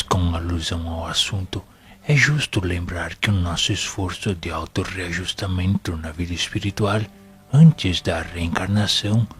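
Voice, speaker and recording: Music plays under a deep, slow male voice. An actor plays a wise, serene spirit mentor in an audio drama, explaining solemn teachings in measured, formal phrases. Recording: music playing behind the voice.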